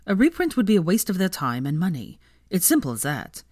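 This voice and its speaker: A woman's voice: on the low side, wildly swooping pitch, brisk, darkish tone, no echo. A self-justifying young man voiced by a female narrator in a fan fiction audiobook. The tone is smug and dismissive, stated as plain fact, with a very dry sound.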